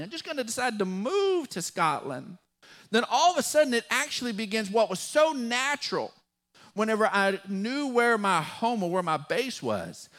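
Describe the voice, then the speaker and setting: A man with a West Texas drawl preaching a sermon as pastor, folksy and animated, with a touch of humor. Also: extremely high pitch.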